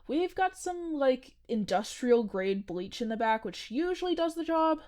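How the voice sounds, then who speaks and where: A woman's voice: high-pitched, very animated, unhurried, darkish tone. A young woman acting a strange, cheerfully nerdy coworker in a comedic audio drama, matter-of-fact and teasing. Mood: breezy. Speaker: restaurant janitor.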